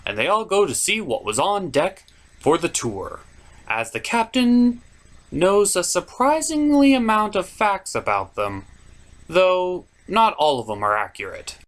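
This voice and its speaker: Animated man hosting an irreverent cartoon review. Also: fairly high pitch. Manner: irreverent, sarcastic, swearing. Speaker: host of a cartoon review show. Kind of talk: casual comedic review narration.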